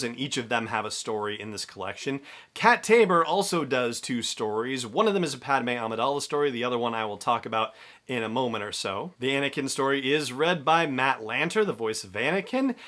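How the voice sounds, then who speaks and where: A man's voice: mid-range voice, a bit bright. A man giving a conversational solo podcast review in a chatty, enthusiastic way with emphatic stresses.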